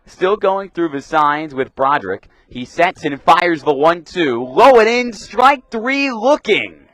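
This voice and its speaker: Young guy doing radio baseball play-by-play, crisp announcer style, punches the pitch calls, dry sound. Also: animated, slow, a bit bright, no echo. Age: young adult. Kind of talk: live sports commentary.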